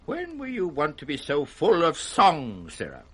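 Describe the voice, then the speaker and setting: A man's voice: on the high side, wildly swooping pitch, unhurried, fairly bright tone, husky, exaggerated voice. An actor playing a proud, testy aging king in radio Shakespeare, grand and declamatory. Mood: indulgent, testy. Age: older. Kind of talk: 1960s British radio Shakespeare acting. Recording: vintage 1960s radio drama recording.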